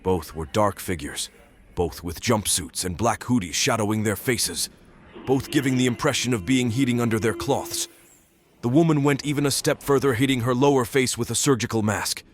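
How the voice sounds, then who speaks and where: A man playing a brooding stalker who narrates an audio drama in deliberate, detached, literary phrases. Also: mid-range voice, lightly acted. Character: brooding male stalker narrating his nightly watch. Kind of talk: scripted first-person narration, audio drama.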